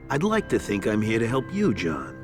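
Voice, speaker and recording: A man's voice: on the low side, huge pitch swings, normal speed, over music. A man playing a menacing mobster in a cartoon, making veiled threats while sounding falsely helpful. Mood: veiled menace. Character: menacing male mobster extorting a circus.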